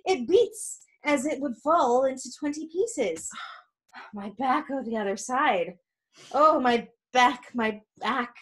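A woman's voice: a little high, lively intonation, a little slow. A woman reading the part of a weary, complaining old nurse in a Shakespeare play, dramatic, groaning and stalling.

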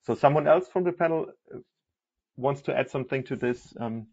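A man's voice: mid-range voice, brisk, a little mellow, slight background noise. A man moderating a technical panel and speaking off the cuff. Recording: dull, lo-fi online call audio.

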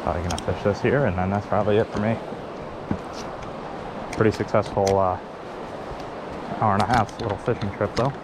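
A man's voice: on the low side, fairly even intonation. A young man talking casually to an action camera while fishing outdoors on a riverbank, laid-back and swearing now and then. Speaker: fishing vlogger. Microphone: head-mounted action camera. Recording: loud river and wind noise. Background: rushing river water and wind.

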